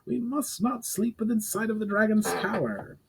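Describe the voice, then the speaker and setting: A man's voice: high-pitched, normal speed, gravelly, noisy background, voice pushed up. A man voicing an aged, stubborn and fearful archmage in a dramatic fantasy reading. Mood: stubborn panic. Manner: insistent, note of panic.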